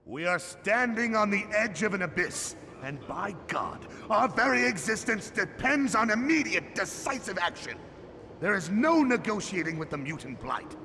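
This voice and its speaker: An actor playing a fervent, hate-filled demagogue in a video game, declaiming with urgent emphasis. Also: male voice, sits high, lively intonation, moderate pace. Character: fervent anti-mutant demagogue giving a speech, grown man. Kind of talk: video game cutscene public oratory. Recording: cavernous long echo, noisy background.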